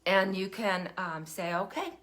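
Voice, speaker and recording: An older woman hosting a casual livestream, chatty and meandering, her throat still rough from a lingering cough. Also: medium pitch, expressive, unhurried. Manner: chatty, warm-hearted, meandering with fillers. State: recovering cough, cough drop in mouth. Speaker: podcast host. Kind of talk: casual livestream talk to viewers.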